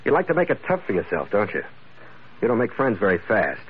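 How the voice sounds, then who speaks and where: An actor playing a firm, weary American ship's master in a 1940s radio adventure, with a terse, hard-boiled delivery. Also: male voice, lowish voice, huge pitch swings, fast talker, slight background noise. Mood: stern, questioning. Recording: muffled old radio recording with loud music.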